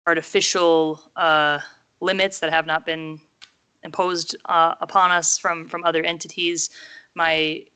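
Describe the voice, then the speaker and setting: Woman councilor, low voice, smooth clear tone, kinda halting and thoughtful. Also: bright, forceful tone. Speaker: city councilor. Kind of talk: council discussion. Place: council chamber.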